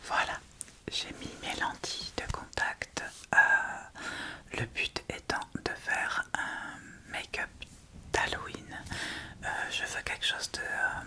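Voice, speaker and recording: A woman whispering a casual ASMR makeup tutorial in a breathy, unvoiced voice, speaking informally and a little hesitantly to the viewer. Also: slow, sharp tone. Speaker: ASMR video creator and host.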